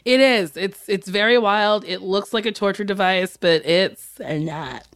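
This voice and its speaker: A woman's voice: mid-range voice, measured pace, fairly bright tone. A woman guest chatting bluntly and bawdily. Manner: blunt, bawdy. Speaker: guest podcaster. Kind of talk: casual chat.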